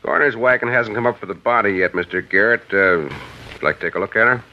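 Actor as a folksy, deep-voiced desert sheriff. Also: male voice, quick pace, lightly acted. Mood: easygoing. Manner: relaxed, folksy. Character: easygoing small-town male sheriff's captain. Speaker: sheriff's captain. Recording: dull hissy 1950s radio recording.

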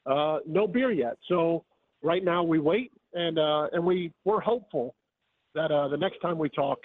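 A slightly hoarse man calling in to a radio show, chatty and joking as he runs through promo details. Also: fairly high pitch, unhurried, no echo. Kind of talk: casual call-in chat. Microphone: phone. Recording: tinny phone line.

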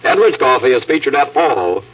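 A man's voice: mid-range voice, very animated, normal speed, recorded from a distance. An American man announcing and reading sponsor copy in a scripted 1940s radio style. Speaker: radio announcer and coffee pitchman. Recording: muffled, noisy old radio broadcast.

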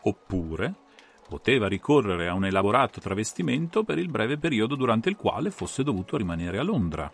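A man's voice: fairly low pitch, sing-song, a little fast, gravelly, a little hiss or hum. A man playing a composed, analytical detective who lays out a case with assured, methodical, theatrical phrasing in a dramatised audiobook.

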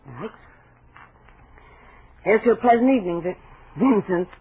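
An actress in a 1940s radio drama playing a chatty, wary young vaudeville dancer, with an American accent and a halting, slangy way of talking. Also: female voice, medium pitch, slightly rough. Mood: tentative. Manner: halting, breezy, slangy show-business talk. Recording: muffled, noisy 1940s radio recording.

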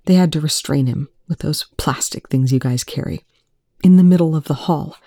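A woman reading aloud, voicing a distraught young man who shouts and then chokes out whispers through tears. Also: low-pitched, normal speed, no echo, clean studio sound. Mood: urgent recounting.

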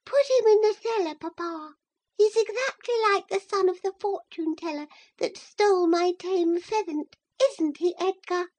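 A woman's voice: extremely high pitch, moderate pace, darkish tone, pitched above the performer's own voice, poor audio. A woman voicing a spoiled little rich girl who lisps, in an audiobook. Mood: disgusted. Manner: lisping.

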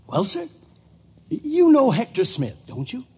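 An actor playing a fussy, earnest, formal society official in a 1950s radio sitcom. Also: male voice, very high-pitched, huge pitch swings, measured pace, darkish tone, slightly rough, heavily acted. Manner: formal, pompous. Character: fussy, earnest, self-important male historical society head. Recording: muffled old radio broadcast recording.

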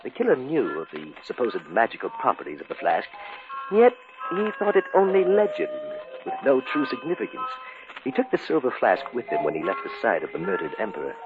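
Fussy, animated older gentleman collector. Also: medium pitch, brisk, phone-quality sound, over music. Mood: storytelling relish. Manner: hesitant, chuckling, polite, storyteller. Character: fussy, courteous retired male art collector. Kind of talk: 1950s radio drama acting. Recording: thin, hissy old radio recording.

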